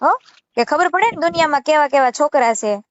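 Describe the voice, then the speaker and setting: A woman's voice: sits high, lively intonation, brisk, no echo. A woman with a Saurashtra Gujarati accent arguing assertively on a phone call, scolding and repeating herself. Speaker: channel creator taking a call. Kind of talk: argumentative phone conversation. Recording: muffled phone call recording.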